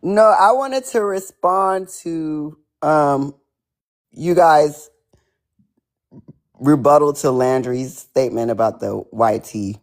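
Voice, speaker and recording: A woman from Liberia calling in to give a firm, measured rebuttal. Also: deep voice, expressive, darkish tone. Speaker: caller. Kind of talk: stating a rebuttal on a call.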